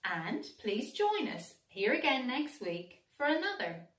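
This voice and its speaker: Female children's storybook reader, slow and animated, roomy distant sound. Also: high-pitched. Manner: expressive, deliberate storytelling. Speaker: teacher reading a children's picture book. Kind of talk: scripted storybook narration for young children. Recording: roomy echo, distant microphone.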